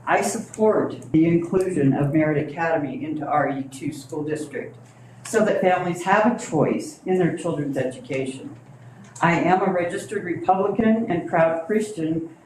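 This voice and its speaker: A middle-aged woman, a longtime resident, giving a blunt, critical public comment at a school board meeting. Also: sits low. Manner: blunt, critical, speaks up loudly. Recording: echoey meeting room, faint hiss.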